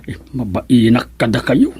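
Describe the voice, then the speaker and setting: A woman acting a flustered, embarrassed village councilor in an Ilocano radio comedy drama, husky and stammering. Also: rumbling low, sing-song, a little mellow, full character voice. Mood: ashamed. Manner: halting, stammering with exclamations.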